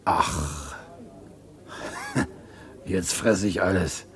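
An actor playing a cocky small-time burglar in a radio crime drama, talking in cheeky streetwise slang. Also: male voice, low voice, extremely slow, fairly bright tone, exaggerated voice.

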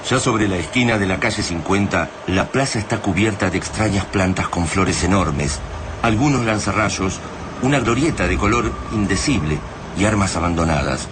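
An actor with an Argentine accent narrating a radio drama war epic in a grave, literary manner. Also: male voice, expressive, moderate pace. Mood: grave, somber. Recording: noisy rumbling battle ambience behind the voice.

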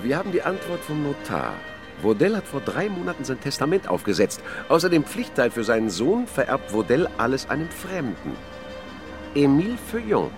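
A suspicious, sardonic policeman in a radio crime drama. His mid-range voice rises and dips sharply as he needles and accuses, with music playing clearly behind him. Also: mid-range voice, very animated, moderate pace. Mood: brisk, commanding.

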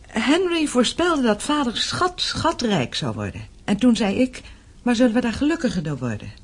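An actress in a radio play playing a thoughtful, foreboding young daughter of a wealthy family, earnest and wistful. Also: female voice, on the high side, wildly swooping pitch, moderate pace, breathy edge, exaggerated voice. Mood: reflective, foreboding. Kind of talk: Dutch radio play acting.

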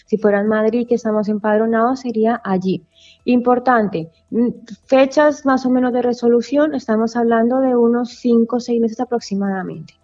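Woman immigration lawyer, walks through the steps patiently with asides; warm and slightly high, on a muffled line. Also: fairly even intonation, moderate pace. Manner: explanatory, with many asides and self-corrections. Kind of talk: explaining legal steps in a radio interview. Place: office in Valencia, Spain.

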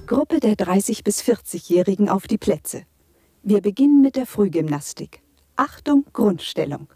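A woman's voice: mid-range voice, expressive, normal speed, close to an everyday voice. An actress playing a party gymnastics instructor in her thirties in a radio drama, drilling with strict, false cheer. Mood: cheerful announcing. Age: 30s. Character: brisk 39-year-old female party gymnastics instructor, chirpily commanding. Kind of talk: radio drama acting, barked exercise drill. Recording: loud music behind the voice. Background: loud rhythmic exercise music.

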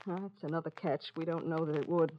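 A woman's voice: low voice, steady tone, fast talker, close to an everyday voice. A woman playing a worn, anxious, loving mother, hesitant and plain-spoken, in an old radio drama. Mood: weary, sorrowful. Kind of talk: 1940s-50s radio drama acting. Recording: muffled, noisy old radio recording.

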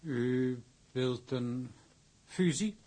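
Very slow, defeated old director. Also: male voice, medium pitch, mellow. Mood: wary, resigned. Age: older. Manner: halting, formal. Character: defeated old shipping company director, stiffly formal. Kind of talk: Dutch radio play acting.

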